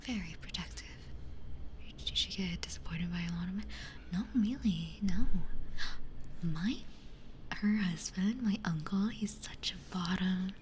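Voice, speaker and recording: A woman streamer chatting casually in a breathy ASMR whisper into a close binaural microphone, telling stories in a candid, gossipy way. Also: fairly low pitch, a little slow, pauses often, darkish tone, a little hiss or hum. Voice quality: breathy, mostly unvoiced whisper.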